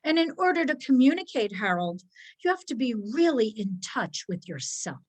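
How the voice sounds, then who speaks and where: A woman voicing an intense, self-important pet cat from a storybook, speaking in an emphatic, deliberate, pompous way. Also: on the high side, sing-song, darkish tone, breathy edge. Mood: solemn, earnest.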